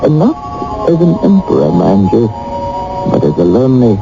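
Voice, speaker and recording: An actor playing a worldly German painter who narrates his story for a radio drama in a measured, wry way. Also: mid-range voice, huge pitch swings, vocal fry. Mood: wry, reflective. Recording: muffled old radio broadcast with music.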